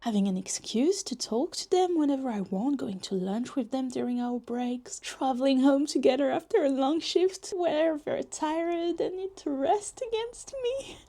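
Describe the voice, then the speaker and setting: A woman acts out a possessive young woman lost in obsessive scheming over her crush. Her voice sits on the high side, with a noticeable creaky fry. She muses to herself in roleplay ASMR style, with breathy sighs and drifts into half-whispers. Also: normal speed, hardly any pauses, mellow. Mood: dreamy, possessive.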